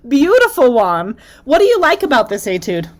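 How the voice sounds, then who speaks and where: Upbeat woman host, slightly high voice, encouraging teacher on a call. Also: huge pitch swings, normal speed. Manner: enthusiastic, encouraging, laughs often. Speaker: flute teacher and masterclass host. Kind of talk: casual masterclass chat on a video call. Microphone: video call.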